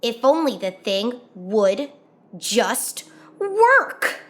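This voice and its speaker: A woman reading a children's picture book aloud as a storyteller, expressively and with drawn-out emphasis on key words. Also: fairly high pitch, big pitch swings. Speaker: children's read-aloud host.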